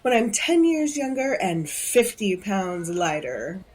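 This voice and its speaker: Vain socialite lady, theatrical, animated intonation, haughty sneer, mocking, audio drama. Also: medium pitch, slow, breathy edge, heavily acted, rough recording. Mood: smug, gloating. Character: smug, vain, theatrical body-stealing socialite woman.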